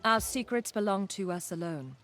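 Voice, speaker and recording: A woman voicing a proud, wary, ancient nature spirit in a fantasy game, speaking in a solemn, deliberate, haughty manner. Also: mid-range voice, expressive, fairly bright tone, soft background music, close to an everyday voice. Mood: solemn, guarded. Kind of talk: dramatic fantasy game dialogue.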